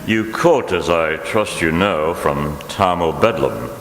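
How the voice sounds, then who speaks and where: A man acting in a radio drama as a grave, dutiful older clergyman, earnest, measured and admonishing. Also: low-pitched, a bit bright, heavily acted. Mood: reasoning. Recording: echoey hall with faint noise.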